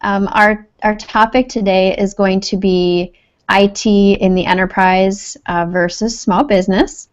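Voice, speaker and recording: A woman co-host chats casually in a mid-pitched voice, laughing easily and sometimes hunting for the right words. Her audio is muffled and lo-fi, like an online call. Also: steady tone, unhurried. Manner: giggly, loose, searching for words. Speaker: podcast co-host. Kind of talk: casual chat.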